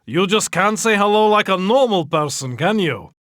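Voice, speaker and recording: A brash spy associate in a video game. He complains in a high, forceful voice that swings in pitch. He sounds exasperated. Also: animated, moderate pace, no room sound, clean studio sound.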